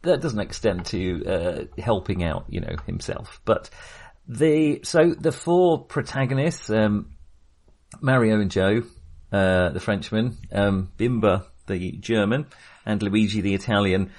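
A man co-hosting a film discussion, talking casually and haltingly with a wry manner. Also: lowish voice, lively intonation, darkish tone. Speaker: film podcast co-host.

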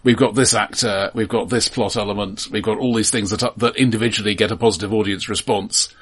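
A middle-aged man with a low, rough-edged voice talks casually as a film podcast host. He explains things analytically, in a halting flow, often doubling back on his words as he goes. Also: steady tone. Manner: halting, repeats words as he thinks.

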